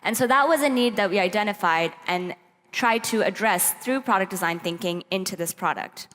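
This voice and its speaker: A woman's voice: medium pitch, normal speed, a bit bright. Woman presenting in an echoey hall. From Pakistan. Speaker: presenter at a youth tech summit. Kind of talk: prepared conference talk. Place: large hall, on stage. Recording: echoey hall, long reverb.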